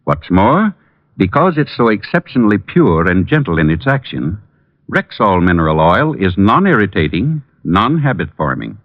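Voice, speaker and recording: A man's voice: fairly low pitch, very animated, normal speed, no room sound. A man reading a scripted advertisement as an announcer on old-time radio. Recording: muffled old radio broadcast, dry.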